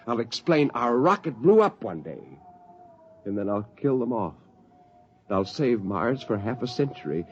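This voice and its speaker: A man's voice: medium pitch, close to an everyday voice. A bitter, haunted astronaut turned killer, acted by a man in a 1950s radio play. His delivery is intense and defiant with dramatic rises and falls, over an old, muffled recording with faint noise. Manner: brooding monologue, intense and defiant.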